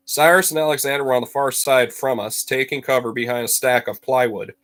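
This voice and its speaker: A man reading a story aloud to students as a teacher, with expressive, dramatic phrasing and deliberate emphasis. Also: mid-range voice.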